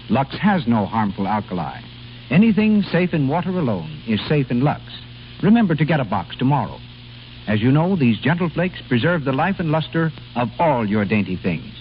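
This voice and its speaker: A man delivering scripted 1930s radio announcements and commercial copy. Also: mid-range voice. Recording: muffled, hissy old radio broadcast.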